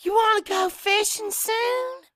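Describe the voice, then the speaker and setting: A man's voice: very high voice, fairly even intonation, a little slow, pushed and bright, no echo, clean studio sound, voice pushed up, full character voice. A man voicing a sweet, casual grown daughter in audiobook dialogue, with a light, offhand manner.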